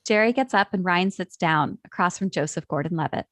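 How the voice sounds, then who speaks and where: A woman's voice: on the low side, a little fast, fairly bright tone, dry recording, clean studio sound. A woman narrator reading stage directions at a script table read.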